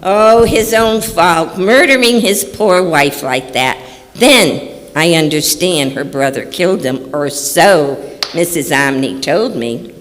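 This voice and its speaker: A woman's voice: fairly low pitch, takes it slowly. A woman playing a placid, homely, folksy mother in an old-time radio drama performed on stage. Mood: mildly fretful. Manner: unflappable, folksy. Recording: echoey hall stage recording.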